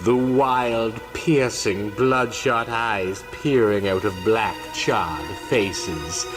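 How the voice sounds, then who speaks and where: A man's voice: fairly low pitch, extremely slow, soft background music. A man narrating a horror comic in a grave, dramatic, scripted storytelling style. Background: musical score.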